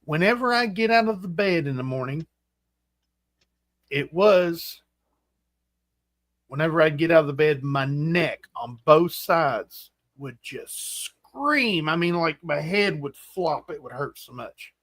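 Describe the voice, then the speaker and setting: A man in his forties hosting a casual livestream chat, rambling and halting with fillers and restarts. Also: on the high side, expressive, a bit bright, no echo, clean studio sound. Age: 40s. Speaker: host.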